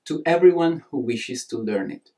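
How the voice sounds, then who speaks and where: A man's voice: fairly high pitch, moderate pace. Earnest Italian-accented man lecturing. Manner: earnest, animated hand gestures, emphatic delivery. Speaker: nutrition course instructor. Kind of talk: scripted lecture to camera.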